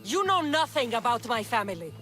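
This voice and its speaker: A woman's voice: a little high, big pitch swings, brisk. A woman playing a young, tough, defiant Spartan mercenary warrior in a video game, speaking in a firm, blunt, self-assured way. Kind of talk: dramatic video game acting. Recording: music behind the voice.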